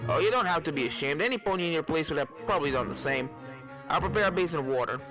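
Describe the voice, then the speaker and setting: A man's voice: fairly high pitch, expressive, rapid-fire, music in the background, lightly acted, low-quality recording. A man voicing a caring, learned pony professor in a fan fiction reading, earnest and given to explaining. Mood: proud, reassuring. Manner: earnest, explanatory, stammers when flustered.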